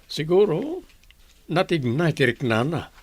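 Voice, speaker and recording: A man's voice: fairly high pitch, big pitch swings, unhurried, slightly rough, heavily acted. A man playing a kindly middle-aged father advising his child in an Ilocano radio drama. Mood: warm, earnest.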